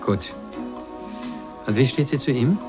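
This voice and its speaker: A man's voice: mid-range voice, animated, measured pace, over music, lightly acted. A man acting a calculating, controlling media executive in a German radio drama, giving clipped, businesslike instructions. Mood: cautious, probing. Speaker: actor.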